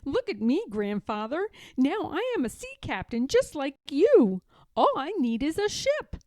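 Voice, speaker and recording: A woman's voice: high voice, huge pitch swings, unhurried, darkish tone, dry recording, studio quality, voice pushed up, heavily acted. A woman voicing an eager, curious little boy bear in a storybook, exclaiming and asking wide-eyed questions. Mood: excited, proud.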